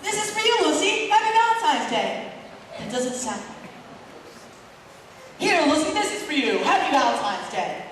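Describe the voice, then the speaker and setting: A woman's voice: high voice, sing-song, moderate pace, long pauses. A woman playing a nervous, hapless young boy in a stage musical, singing and fretting aloud. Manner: nervous, self-pitying, rehearses his words aloud. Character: anxious, hapless young boy who is easily flustered. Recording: echoey hall, mic far from the stage. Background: loud musical accompaniment.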